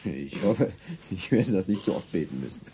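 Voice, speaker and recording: An actor playing a bitter, sardonic peasant revolutionary in a German radio drama, reciting a cover story. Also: male voice, sits low, expressive, normal speed, mellow, a little hiss or hum. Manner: sardonic, rehearsing a role aloud.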